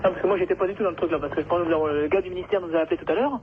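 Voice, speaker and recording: A man's voice: high voice, very fast. A man talking casually and agreeably on a phone call, informal and a little hesitant. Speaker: haulage company employee, prank victim. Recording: thin, slightly noisy telephone line.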